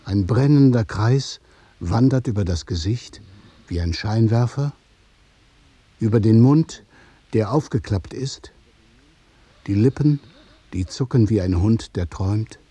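A man narrating a literary radio play in measured, observational short phrases. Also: lowish voice, mellow, slightly rough, no room sound.

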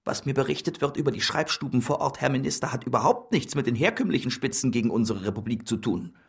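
A man's voice: mid-range voice, fast talker, vocal fry, husky. An actor playing a servile jester puppet reporting cautiously to his minister, deferential in manner. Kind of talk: radio play acting.

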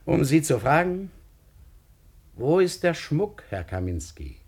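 An actor playing a suave lawyer in a 1950s radio crime play, questioning with insinuating, mock-polite menace in a husky voice. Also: male voice, a little high, very animated, slow, a little mellow, heavily acted. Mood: polite pressure. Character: suave, quietly menacing male lawyer interrogating a witness.

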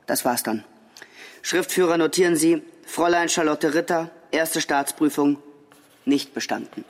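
A man's voice: a little high, a little slow. An actor playing a stern, dismissive examination board chairman, formal and officious, in a German radio drama.

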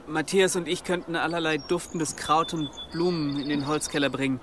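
A man plays an obedient young monk in a radio drama. His voice is fairly high, his manner eager and deferential. Faint noise runs behind him. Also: steady tone, normal speed, lightly acted. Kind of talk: German radio drama acting.

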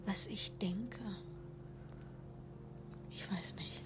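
An actress playing a calm, thoughtful wife in a modern radio play, quiet and intimate, often near a whisper. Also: female voice, medium pitch, drawn-out words. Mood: pensive, frank.

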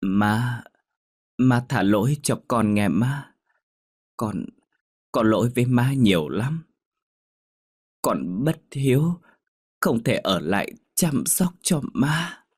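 A man voicing a dying young woman who speaks slowly in a faint, trembling whisper. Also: lowish voice, lively intonation, darkish tone, breathy edge, exaggerated voice. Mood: faint, pleading.

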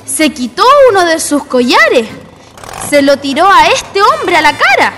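High, animated Chilean gossip, played by an actress. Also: female voice, a little slow, heavily acted. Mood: excited gossip. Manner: animated, colloquial Chilean storytelling. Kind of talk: radio drama acting. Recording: music behind the voice.

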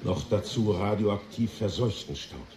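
Weary, blunt statesman for radio drama; low, mellow, set in an echoey room with faint hiss. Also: animated, a little slow. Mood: weary, resigned. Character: weary, blunt American foreign minister briefing the press. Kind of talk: 1980s German radio drama acting. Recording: roomy echo with faint hiss.

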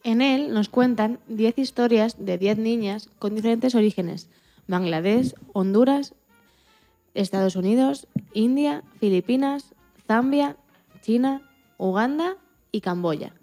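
A woman's voice: a little high, takes it slowly, dark tone, soft background music. A woman co-presenting a radio programme, reading prepared text aloud. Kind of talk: scripted narration, reading aloud.

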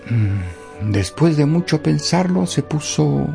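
A man narrating a radio story expressively and theatrically, with dramatic pauses and emphasis. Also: fairly high pitch, big pitch swings, vocal fry, dark tone, soft background music.

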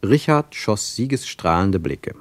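Low, lively male radio-play narrator. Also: measured pace, no room sound.

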